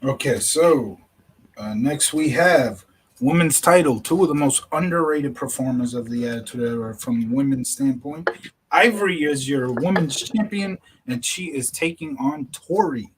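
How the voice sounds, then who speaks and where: A man with an ordinary mid-range voice, co-hosting a podcast in easy, teasing banter. He fires back short replies and moves the review along at a relaxed pace. Faint hiss sits behind him. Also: mid-range voice, a little slow, poor audio. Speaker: podcast co-host. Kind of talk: casual podcast chat.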